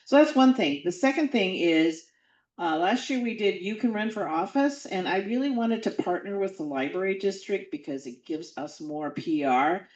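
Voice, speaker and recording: A woman's voice: medium pitch, expressive, moderate pace, darkish tone, roomy sound, dull audio. A woman giving a casual civics update at a membership meeting, talking loosely with fillers and restarts.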